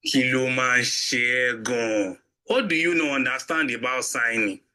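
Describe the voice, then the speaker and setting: A man playing a corrupt, menacing executive boss in an audio drama, smooth-talking and overbearing, with a Nigerian accent. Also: medium pitch, fairly even intonation, moderate pace, pushed and bright. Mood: scheming, coaxing. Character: corrupt, menacing male executive boss pressuring his accountant.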